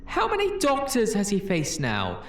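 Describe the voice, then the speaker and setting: A performer in a fan audio drama playing the bitter, mocking voice of an erased time traveler, theatrical and sarcastic. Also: female voice, medium pitch, wildly swooping pitch, normal speed, roomy sound, a little hiss or hum. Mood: scornful.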